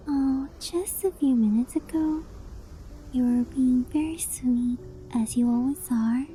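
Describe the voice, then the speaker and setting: Woman as adoring kitsune wife for ASMR roleplay: very mellow, unhurried, shy, affectionate, whispered touches, music behind. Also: high voice. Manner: coy, hesitant, affectionate, softly breathy with whispered phrases. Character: doting, clingy, obsessive young kitsune fox-spirit wife. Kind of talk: ASMR roleplay, intimate sleep-aid monologue.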